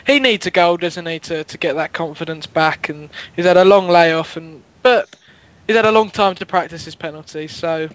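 A man's voice: fairly high pitch, moderate pace, low-quality recording. A man co-hosting a football fan radio show, blunt and teasing. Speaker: co-host. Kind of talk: casual fan radio chat.

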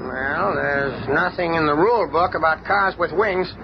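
An actor playing a fussy, officious old parking attendant in a radio drama, grumbling and petty. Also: male voice, fairly high pitch, a little slow. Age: older. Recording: muffled, noisy old radio recording.

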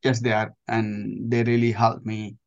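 A man in his forties from Nepal with a Nepali accent, answering interview questions haltingly and repeating himself as he searches for words. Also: lowish voice, little pitch movement, creaky, lo-fi sound. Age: 40s. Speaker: interview guest, adventurer and army veteran.

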